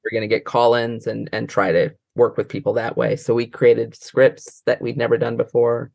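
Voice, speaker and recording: Creaky-voiced American woman, rambling as she explains. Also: rumbling low, level tone, moderate pace, a little mellow, no room sound. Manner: rambling, trailing off mid-thought. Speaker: interview guest, librarian and trainer. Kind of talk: casual interview talk.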